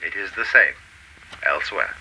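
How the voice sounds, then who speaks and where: An actor playing an enigmatic, otherworldly male teacher in a radio play, speaking in a calm, cryptic, formal and measured way. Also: low-pitched, gravelly, husky, full character voice, poor audio. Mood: cryptic, lofty.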